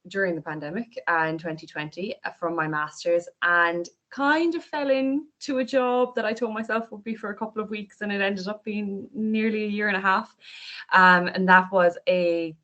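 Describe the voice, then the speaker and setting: A woman's voice: medium pitch, normal speed, a bit bright, muffled recording. A woman in her twenties with an Irish accent gives an informal, conversational presentation, rambling a little as a guest speaker. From Ireland. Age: 20s. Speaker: guest speaker at a careers seminar. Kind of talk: informal presentation of own career. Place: online video call.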